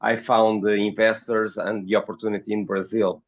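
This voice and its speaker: A man from Portugal with a Portuguese accent, giving interview answers as a podcast guest in a halting, hesitant way, often repeating words. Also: on the low side, flat delivery, lo-fi sound. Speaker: podcast guest, company founder.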